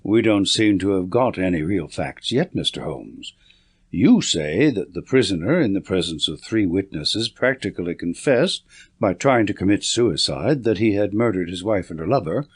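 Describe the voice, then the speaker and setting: A man's voice: low-pitched, lively intonation, moderate pace, husky. A man voicing a young police inspector in an audiobook, reserved, formal and earnest, a little touchy. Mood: earnest, defensive.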